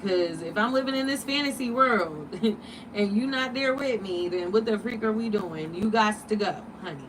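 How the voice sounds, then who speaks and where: A woman's voice: mid-range voice, lively intonation, moderate pace, recorded from a distance, background noise. A woman in her late thirties hosting a livestream, talking casually and animatedly, preaching and firing off rhetorical questions. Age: 30s. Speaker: livestream host.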